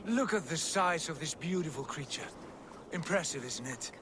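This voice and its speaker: A man's voice: on the high side, expressive, normal speed, lightly acted. A man playing a reasonable, steadfast Norse god in a video game, earnest and reasoning in manner. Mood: earnest, steady. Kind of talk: dramatic video game cutscene acting. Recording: noisy ambience behind dialogue.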